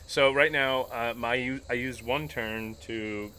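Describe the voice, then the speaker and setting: A man's voice: mid-range voice, steady tone, unhurried, pushed and bright, slight background noise. A man playing a tabletop role-playing game, casually thinking his moves through aloud.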